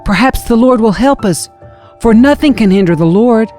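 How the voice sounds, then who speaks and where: A woman's voice: a little high, animated, moderate pace, darkish tone, soft background music, natural voice. A woman voicing a bold, devout young warrior prince in an audio scripture reading, urging and confident.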